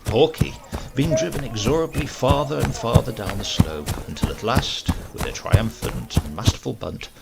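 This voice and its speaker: A man giving an expressive, dramatic narration of a comic short story, relishing the phrasing. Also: medium pitch, moderate pace, vocal fry, loud music behind the voice. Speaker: audiobook reader.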